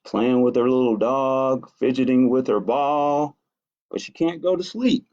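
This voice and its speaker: A man reading picture books aloud to children as a library storyteller, expressive and adding his own asides about the pictures. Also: medium pitch, measured pace. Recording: dull lo-fi audio.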